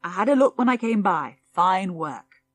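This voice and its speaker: A woman voicing a quiet farmer father in an audiobook, talking in brief clipped phrases. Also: mid-range voice, animated, normal speed, no echo, close to an everyday voice. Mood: approving. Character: quiet, plain-spoken farmer father, approving.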